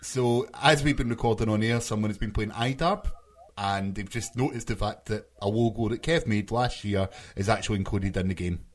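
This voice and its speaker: A man's voice: on the low side, a little fast. A man hosting a casual podcast, steering the chat and bantering with the panel. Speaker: podcast host.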